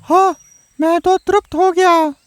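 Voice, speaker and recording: A woman's voice: extremely high pitch, steady tone, slow pace, faint music behind. A woman voicing a young male frog, polite and pleading, in a children's cartoon story. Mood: delighted. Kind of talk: Hindi children's animated story acting.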